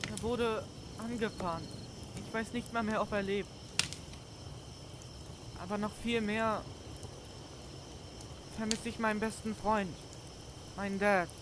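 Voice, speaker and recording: A man's voice: sits high, steady tone, unhurried, pauses often, background noise. A man voicing an earnest, vulnerable young boy in a video game fan dub. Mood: sorrowful. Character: sad, earnest young boy missing his friends and dad. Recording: night crickets and outdoor ambience behind.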